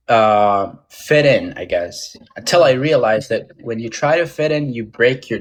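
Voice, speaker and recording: A young man, a podcast guest who grew up outside the English-speaking world, speaking in a halting, casual way with many fillers and restarts. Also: lowish voice. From Tajik American, born in Moscow. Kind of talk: casual interview talk.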